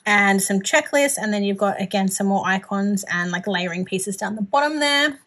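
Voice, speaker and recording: A woman's voice: medium pitch, moderate pace, fairly bright tone. Chatty, gushing Australian woman. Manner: gushing, informal. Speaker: planner haul vlogger. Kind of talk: casual chatty product show-and-tell.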